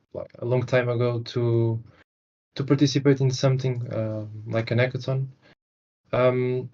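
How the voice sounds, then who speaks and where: A man's voice: medium pitch, darkish tone, dull audio. A man from Portugal, a panel guest, introducing himself hesitantly with many fillers over a video call. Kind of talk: casual self-introduction.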